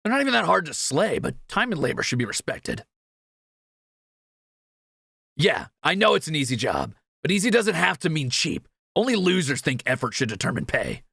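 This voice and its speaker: A man's voice: a little high, huge pitch swings, a little fast, creaky, hardly any pauses, sharp tone, breathy edge, no room sound, studio quality, full character voice. A man playing a cocky, greedy thief in a fantasy audio roleplay, teasing and sarcastic with swaggering banter. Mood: indignant.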